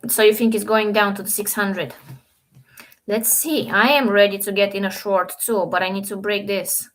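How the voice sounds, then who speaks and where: A woman's voice: medium pitch, fairly even intonation, moderate pace, slight background noise, rough recording. A woman from Romania hosting a trading live stream, chatting casually with viewers and thinking aloud over the charts.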